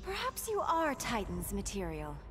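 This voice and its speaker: A woman's voice: medium pitch, wildly swooping pitch, moderate pace, heavy background noise. A woman playing a confident, regal alien superheroine in a fighting game, poised and formal. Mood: inviting, confident. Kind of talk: fighting game character dialogue. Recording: game clip with loud music behind.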